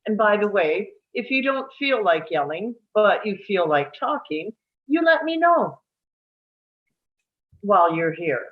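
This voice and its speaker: A woman playing a calm, matter-of-fact psychiatric nurse giving a tour in a screenplay table read, speaking in a measured way. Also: medium pitch, narrow, tinny audio.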